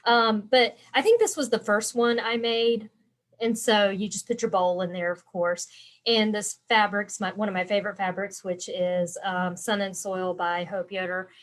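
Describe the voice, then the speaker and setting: A woman's voice: medium pitch, steady tone, normal speed. A woman with a congested voice, hosting a casual live stream as an embroidery instructor and chatting with viewers by name. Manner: chatty and rambling, answering viewer comments by name.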